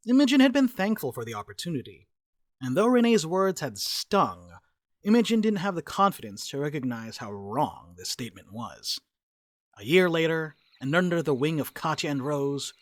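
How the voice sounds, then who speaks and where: A man's voice: a little high, big pitch swings, moderate pace, no echo, clean studio sound. A man reading scripted narration for a dramatic reading. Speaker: narrator reading stage directions.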